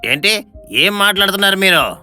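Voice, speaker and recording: Man as a sharp-voiced, troubled uncle. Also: sits high, expressive, fairly quick, faint music behind, exaggerated voice. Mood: shocked, protesting. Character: troubled, weak-willed indebted uncle.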